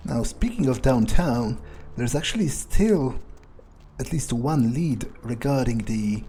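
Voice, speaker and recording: A man role-playing a ruthless, casually murderous vampire thinking aloud in improvised game commentary, musing, hesitant and foul-mouthed, with dark amusement. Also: mid-range voice, expressive, slow, slight background noise. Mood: musing, darkly amused. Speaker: gaming streamer role-playing his character. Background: game music.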